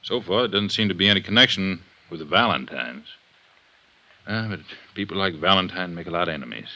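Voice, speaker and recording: An actor playing a blunt, weary police inspector in a 1950s radio drama, plain-spoken and serious. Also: male voice, deep voice, lively intonation, a little fast, pushed and bright, lo-fi sound, exaggerated voice. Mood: firm.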